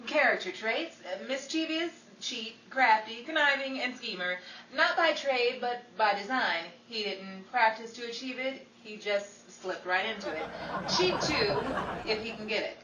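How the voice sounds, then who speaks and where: Woman acting a bright, sardonic starlet, echoey radio. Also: fairly high pitch, unhurried. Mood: teasing. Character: sardonic, exasperated Hollywood starlet, young woman. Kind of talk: 1940s old-time radio comedy acting. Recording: echoey, distant mic with faint crowd and noise.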